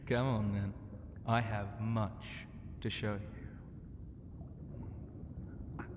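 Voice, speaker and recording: A man voicing a flirtatious, regal sea dragon ocean god in an ASMR roleplay, teasing and grandly courteous, often dropping into a breathy whisper. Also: on the low side, steady tone, drawn-out words. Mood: proud, welcoming. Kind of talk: ASMR roleplay addressed to the listener. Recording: long cavernous reverb, clear ambient noise.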